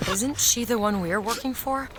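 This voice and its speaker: A woman's voice: fairly high pitch, normal speed, heavy background noise, lightly acted. An actress playing a curious, bookish young woman companion in a video game, earnest and natural in delivery. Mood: concerned. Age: young adult.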